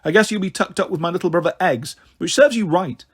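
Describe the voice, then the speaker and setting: Man as teenage girl, high voice, sardonic, dry, teasing, caring, storybook dialogue. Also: quick pace, fairly bright tone, husky.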